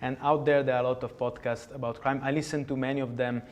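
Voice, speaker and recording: A man's voice: mid-range voice, flat delivery, fast talker, muffled recording. A man with an Italian accent, speaking English as a guest in an interview, hesitant with many fillers and restarts. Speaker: podcast guest. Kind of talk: conversational interview.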